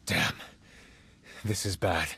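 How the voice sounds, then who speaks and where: An actor playing an earnest, troubled secret agent in a game, serious and urgent in manner. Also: male voice, slow pace, pushed and bright. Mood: grim. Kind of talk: video game cutscene acting.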